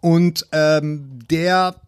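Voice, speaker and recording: A man co-hosting a casual film chat, speaking haltingly as he searches for words. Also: fairly high pitch, fairly even intonation, clear, resonant tone, dry recording, studio quality. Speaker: film podcast co-host.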